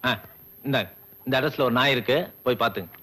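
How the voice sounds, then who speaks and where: A man's voice: medium pitch, fast talker, sharp tone, a little hiss or hum, natural voice. An actor playing a devout, testy man doctor who treats animals and scolds his compounder. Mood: dry.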